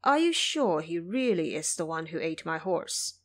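A woman's voice: lowish voice, very animated, a little slow, pitched below the performer's own voice, heavily acted. A woman voicing a skeptical male monk master in an audio drama, questioning and mildly mocking. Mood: doubtful.

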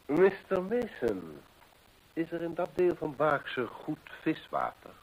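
An actor playing a shrewd, courteous gentleman detective in a radio play, formal, measured and probing. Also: a little high, very animated, a little hiss or hum, full character voice, rough recording. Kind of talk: Dutch radio play acting.

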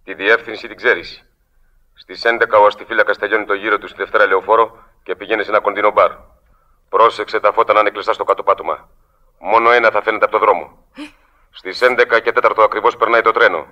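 A man's voice: on the low side, fairly even intonation, lightly acted. An actor in a radio play playing a cold, businesslike male crime boss who gives matter-of-fact orders. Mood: cold, instructing. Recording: dull, lo-fi old radio recording.

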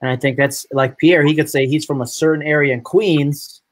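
A man from upstate New York hosting a casual live video chat with guests, talking in a chatty, animated way. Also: on the high side, moderate pace, no room sound. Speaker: host.

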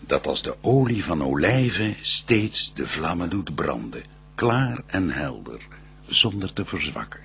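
An actor playing a solemn, reverent archangel, declaiming verse in a stately, measured manner in a radio play. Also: male voice, low-pitched, a bit bright, husky, full character voice.

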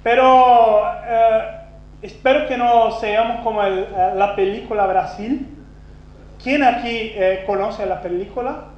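A Brazilian man whose first language is Portuguese, giving a conference talk with a Brazilian accent, self-deprecating and halting, with many restarts. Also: high-pitched. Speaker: conference speaker. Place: conference hall. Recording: roomy, dull lo-fi sound with faint hum.

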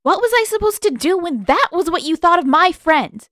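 A woman's voice: very high-pitched, animated, normal speed, a bit bright, no echo, clean studio sound, pitched above the performer's own voice. A woman voicing a fiery, indignant teenage girl who speaks sharply and accusingly in a storybook reading. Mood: defiant.

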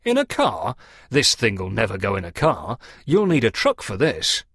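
Man playing a gruff, animated haggling countryman. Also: on the low side, normal speed, no room sound, studio quality, full character voice. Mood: blunt. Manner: blunt, insistent. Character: gruff, impatient, hard-bargaining countryman. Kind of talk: storybook character dialogue.

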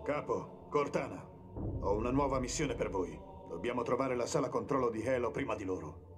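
An actor voices a middle-aged army captain with a grave, authoritative bearing. He gives orders sternly in a dubbed video game, over clearly audible music. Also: medium pitch, moderate pace, natural voice. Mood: commanding, urgent. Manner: commanding, grave.

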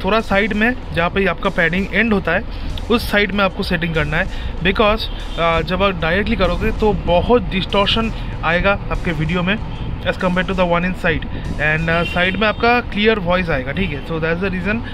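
Higher-pitched young Indian man, casual demo. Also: normal speed. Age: young adult. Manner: informal, mixes Hindi and English. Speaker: motovlogger and gear shop owner. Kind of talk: casual hands-on product demo vlog. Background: music.

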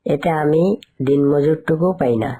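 Woman voicing a low-pitched, plaintive male fox. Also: steady tone, normal speed, mellow, husky, lo-fi sound. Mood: weary, complaining. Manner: plaintive, pleading. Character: poor, worried, pleading male fox labourer. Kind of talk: cartoon dialogue.

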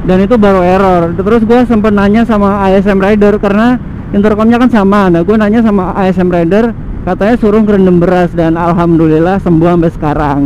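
A man's voice: high-pitched, steady tone, fairly quick, darkish tone. A man, chatting casually and repeating himself for emphasis as he vlogs from a motorbike. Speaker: motovlogger host. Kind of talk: casual chat while riding. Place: outdoors, riding a motorbike on city roads. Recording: muffled helmet mic, engine and traffic noise.

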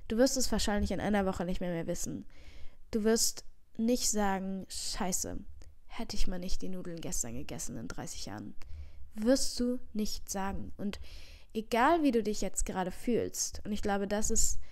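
A woman's voice: mid-range voice, expressive, normal speed, darkish tone. A young woman in her twenties, a vlogger with a slight cold, talking earnestly into a handheld mic at home, rambling and reassuring with many fillers. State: has a cold. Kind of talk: casual heartfelt advice monologue. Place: living room at home, seated in armchair. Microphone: handheld microphone.

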